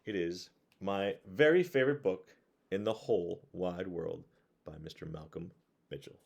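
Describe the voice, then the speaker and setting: A man reading a storybook aloud to children in an expressive way, pausing to put questions to his young listeners. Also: low-pitched, gravelly. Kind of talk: read-aloud storytelling to children, with conversational asides.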